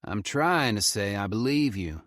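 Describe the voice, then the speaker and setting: A man's voice: lowish voice, expressive, a little slow, a bit bright, dry recording, studio quality. A man voicing a middle-aged male park ranger with a thick Southern drawl, folksy and casual. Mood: earnest.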